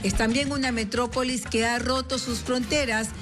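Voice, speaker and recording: A woman's voice: a little high, fairly even intonation, moderate pace, over music. A woman with an Ecuadorian accent narrating a scripted television news report.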